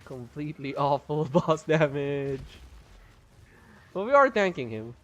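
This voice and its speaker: A man's voice: fairly high pitch, sing-song, unhurried, dull audio. A man streaming a game live, chatting casually and explaining his build while his voice is strained and he holds back a cough. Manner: chatty, explains build details, laughs often. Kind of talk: live gameplay commentary.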